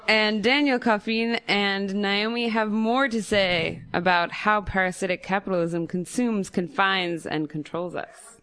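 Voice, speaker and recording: A woman's voice: medium pitch, fairly bright tone. A woman hosting a radio show, giving station announcements. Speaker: radio host.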